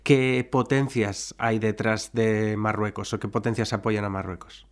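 Man, fairly low voice, interviewer, political questions. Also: flat delivery, a little slow.

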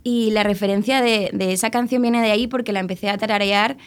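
A woman's voice: mid-range voice, steady tone, fast, smooth. A young woman from Alicante, a musician being interviewed, talking in a chatty, rambling way with many fillers and restarts. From Spain, Alicante. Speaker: musician guest. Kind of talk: casual interview chat.

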